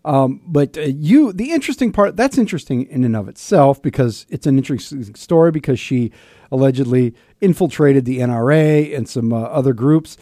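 A man hosting a casual political interview show in a chummy, wry and halting way. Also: mid-range voice, animated, mellow, no echo, clean studio sound. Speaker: radio host interviewing a guest.

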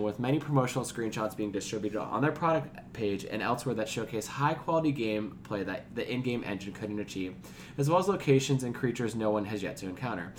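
Guy cohosting a podcast, regular pitched voice, casual talk n news reads, bit of rumble in the background. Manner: informal, swears casually. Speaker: podcast co-host. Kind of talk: casual chat and reading out gaming news.

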